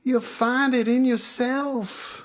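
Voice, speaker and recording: A performer voicing a sly, folksy male hermit guru from a comic poem, husky, making drawn-out mystical pronouncements. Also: medium pitch, fairly even intonation, voice pushed up, close to an everyday voice. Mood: wry, preachy.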